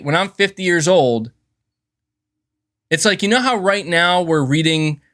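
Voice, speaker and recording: A man's voice: a little high, fairly bright tone. A man hosting a livestream in casual, chatty commentary, who often chuckles and says "dude" and "man". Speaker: livestream host.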